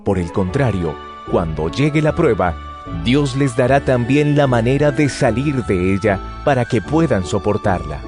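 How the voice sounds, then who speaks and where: An actor playing an apostle earnestly exhorting believers in a dramatized, measured scripture reading. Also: male voice, mid-range voice, expressive, close to an everyday voice. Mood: solemn, instructive. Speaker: Bible audio narrator. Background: background music.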